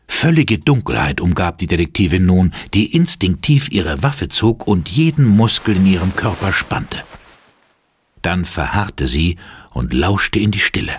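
A man's voice: low-pitched, big pitch swings, unhurried, slightly rough. A man narrating an audio drama in a dramatic, scripted style.

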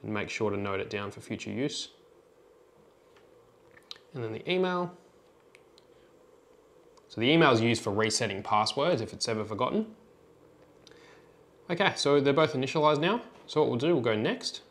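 A man's voice: medium pitch, expressive, moderate pace, long pauses, fairly bright tone. Man giving a plain, step-by-step demo. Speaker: tutorial presenter. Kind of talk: informal step-by-step product demonstration.

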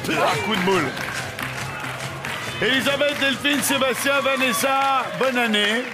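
A man hosting a TV game show in a studio, talking loudly and teasing the contestants in a playful way. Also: very high-pitched, unhurried, over a noisy crowd. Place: TV studio with live audience. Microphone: headset. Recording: studio audience and music.

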